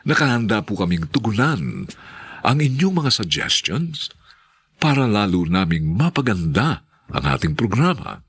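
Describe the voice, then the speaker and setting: A man's voice: on the low side, sing-song, measured pace, a bit bright, husky. A man narrating and announcing for a radio drama in a dramatic scripted style.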